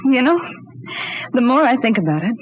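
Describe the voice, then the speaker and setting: An actress playing a trusting, earnest young wife who soothes and insists, in an old-time radio drama. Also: female voice, fairly high pitch, sing-song, brisk. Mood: cheerful, reassuring. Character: trusting, reassuring young wife visiting her eccentric uncle. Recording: dull, noisy old radio recording.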